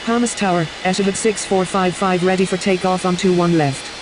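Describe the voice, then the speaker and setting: A woman's voice: on the low side, brisk, lightly acted. A woman playing a businesslike airline pilot in a game, making clipped, scripted radio calls. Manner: clipped, formulaic phraseology. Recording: hissy recording over steady engine noise.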